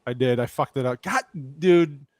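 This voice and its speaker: A man's voice: medium pitch, moderate pace, dry recording, clean studio sound. A man co-hosting a podcast in casual, joking chat, rambling and swearing freely as he talks. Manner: animated, rambling, profane. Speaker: podcast co-host.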